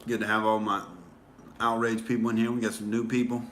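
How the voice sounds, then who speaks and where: A man's voice: lowish voice, little pitch movement, a little fast, a bit bright, slight background noise. A man hosting a casual livestream, rambling with many fillers and restarts while answering viewer comments. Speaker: livestream host.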